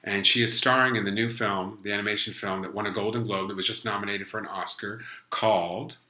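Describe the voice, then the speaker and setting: A man's voice: fairly low pitch, steady tone, brisk, sharp tone, roomy sound. A man hosting his own live talk show, chatty and gushing with enthusiasm. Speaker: host.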